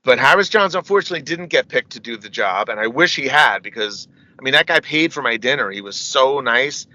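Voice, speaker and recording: A man's voice: medium pitch, lively intonation, brisk, sharp tone, poor audio. A middle-aged man, a metal singer, telling rambling stories as an interview guest, often asking "you know what I mean" and laughing between anecdotes. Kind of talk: casual storytelling interview.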